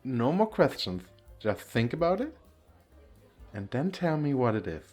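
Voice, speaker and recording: Playful femboy flirt played by a man for ASMR roleplay: expressive swooping pitch, slow pace, coy, effeminate, teasing. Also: faint music behind. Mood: mock-bossy, teasing. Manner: lilting, effeminate, giggly, coy.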